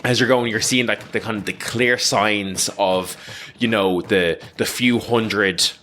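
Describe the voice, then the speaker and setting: A man narrating a tabletop game as game master, improvising casually and haltingly with plenty of "like". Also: fairly low pitch, steady tone, bright, forceful tone, slight background noise. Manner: halting, casual, many likes.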